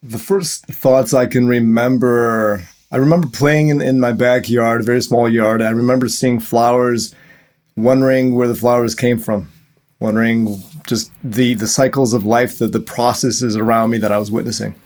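A man from a suburb of Cleveland, Ohio, giving calm, measured, reflective answers as an interview guest. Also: mid-range voice.

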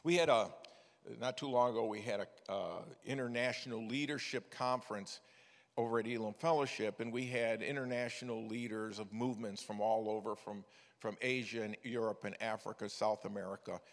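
A man's voice: fairly low pitch, steady tone, a little fast. An older man preaching a sermon, telling stories in a folksy way with frequent restarts. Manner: folksy storytelling with many restarts. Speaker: preacher, former missions director. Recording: echoey hall with long reverb.

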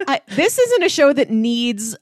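A woman's voice: fairly high pitch, sing-song, a little slow, no room sound, studio quality. A woman co-hosting a podcast, chatting casually as she reviews what she watched. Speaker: podcast co-host reviewing a TV show.

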